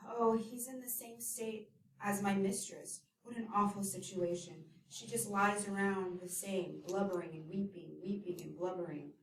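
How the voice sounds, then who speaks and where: A woman's voice: medium pitch, steady tone, moderate pace, recorded from a distance, a little hiss or hum, natural voice. Woman as a fussy older nurse, fretful, exclaiming, echoey, set back from the mic. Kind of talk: scripted audio drama.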